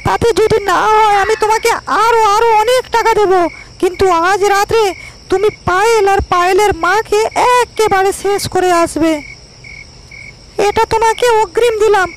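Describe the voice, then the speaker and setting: A woman's voice: very high voice, fairly even intonation, moderate pace, background noise. A woman voicing a spoiled, vengeful young landlord's daughter in a cartoon story, with haughty, emphatic delivery. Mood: scheming, boastful. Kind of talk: cartoon moral-story acting.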